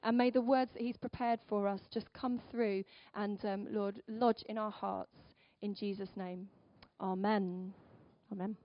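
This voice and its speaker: A woman's voice: mid-range voice, steady tone, a little slow, no room sound, lo-fi sound. A woman leading an earnest, fluent prayer before a sermon. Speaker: church member leading prayer. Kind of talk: spoken prayer.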